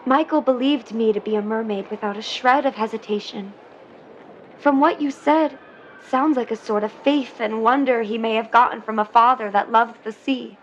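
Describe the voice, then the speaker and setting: Woman acting a high-voiced, dreamy young mermaid sweetheart. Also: moderate pace, lo-fi sound, slight background noise, natural voice. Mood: gentle, probing. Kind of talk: 1950s period audio drama acting.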